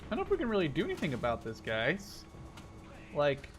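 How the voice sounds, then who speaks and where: Higher-voiced young man commentating over game noise. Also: wildly swooping pitch, a little fast. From Canada-based, Dutch. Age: 20s. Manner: chatty, playful, thinking aloud. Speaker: gaming video host. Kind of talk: casual gameplay commentary. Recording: vehicle and game noise behind voice. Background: tank game sounds.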